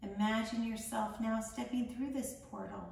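Woman, guided meditation, soothing, slight vocal fry, distant mic, strong room echo. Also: a little high, steady tone. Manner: soothing, gentle, measured with long pauses. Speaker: meditation guide, founder of a yoga charity. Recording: echoey room, distant mic, faint noise.

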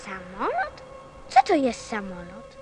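A woman's voice: mid-range voice, huge pitch swings, slow pace, very noisy. A woman playing a curious, insistent little boy prince in a radio play, speaking earnestly like a child.

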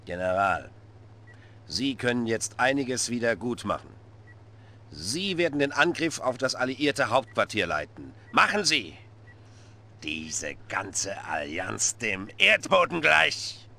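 An actor playing a middle-aged Soviet dictator, boisterous and menacing, in a theatrical, commanding, gloating way for a video game cutscene. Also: male voice, medium pitch, big pitch swings, takes it slowly, gravelly, pushed and bright, full character voice. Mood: angry, menacing.

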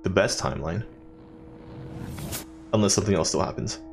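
A man's voice: deep voice, moderate pace, creaky, faint music behind. Wry young man commentating on a game. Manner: wry, joking. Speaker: gaming YouTuber. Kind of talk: casual let's play commentary.

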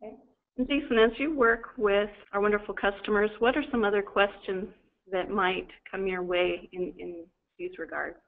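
A woman's voice: mid-range voice, fairly even intonation, moderate pace, creaky. A woman hosting a webinar, putting questions to the presenter in a question-and-answer session. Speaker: webinar host, moderator. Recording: thin phone-like line.

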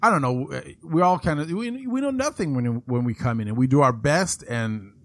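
French Canadian podcast host, friendly. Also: male voice, lively intonation, normal speed, a bit bright, dry recording. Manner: friendly, many fillers, swooping pitch. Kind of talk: casual interview conversation.

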